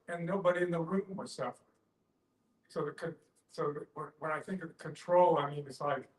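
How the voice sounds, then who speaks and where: A man speaking reflectively in a group discussion, telling personal stories in a halting way as he thinks aloud. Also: a little high. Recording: echoey room.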